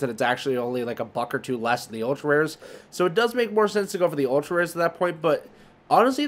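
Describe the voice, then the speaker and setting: A man speaks in a mid-range voice as the host of an online market rundown. His commentary is casual and loose, wandering a bit as he thinks aloud while looking things up. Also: medium pitch. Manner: rambling, thinking aloud while browsing listings. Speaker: host of a trading card market video. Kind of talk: casual screen-share commentary.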